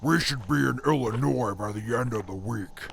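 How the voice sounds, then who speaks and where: A man's voice: medium pitch, normal speed, gravelly, slight background noise, natural voice. A man playing a disdainful ex-army scout in an audio drama, dry, stern and formal. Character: gruff, disdainful ex-army wasteland scout, male. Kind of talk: post-apocalyptic audio drama acting.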